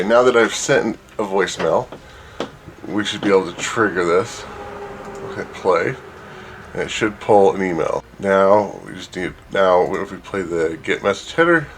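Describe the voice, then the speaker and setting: A man with a fairly low voice leads a casual screen-share tutorial. He talks informally, thinking out loud as he moves from one step to the next, with faint music behind him. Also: measured pace. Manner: informal, thinking aloud while clicking through steps. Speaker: software tutorial presenter.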